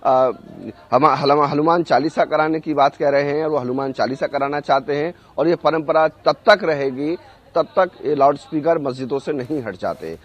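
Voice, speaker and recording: A man giving an unscripted live news report as a correspondent, halting often to search for words. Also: on the high side, muffled recording.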